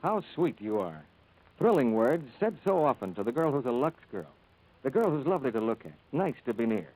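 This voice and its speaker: A man announcing on 1940s radio in a polished, scripted sales style, as host and soap pitchman. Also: sing-song, fairly quick, darkish tone, faint music behind, poor audio.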